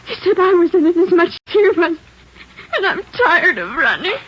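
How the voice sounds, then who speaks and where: On a muffled old radio recording with faint noise, a young American wife speaks in a high voice. She is plaintive, worn out and anguished, in the emotional manner of 1940s crime drama. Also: big pitch swings, normal speed. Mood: tearful, weary.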